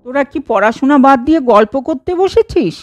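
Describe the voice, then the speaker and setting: Woman playing a scolding cartoon mother, high voice. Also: animated, moderate pace, a little mellow, soft background music. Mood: stern, questioning. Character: scolding mother checking on chattering children. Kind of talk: children's cartoon dialogue.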